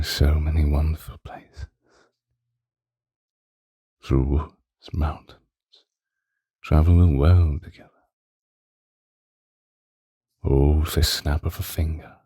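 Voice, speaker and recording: A man playing an ancient, courtly vampire lord and devoted lover in a romantic ASMR roleplay, speaking in a breathy, intimate, theatrical way with formal old-fashioned diction. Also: very deep voice, warm tone, no echo, clean studio sound, heavily acted. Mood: possessive, romantic. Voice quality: breathy, husky.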